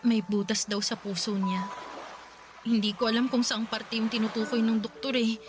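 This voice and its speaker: Actress voicing a young mother, high voice, plaintive and pleading, music underneath. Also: level tone, normal speed, lightly acted. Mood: shaken. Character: young mother, worried and emotional wife. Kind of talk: radio drama acting. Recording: voice over drama music.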